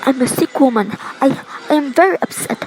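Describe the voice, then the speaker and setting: A woman's voice: high-pitched, brisk, close to an everyday voice. A woman acting in a radio play as a nervous, demanding invalid wife, agitated and pleading. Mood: anxious, upset. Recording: faint background noise.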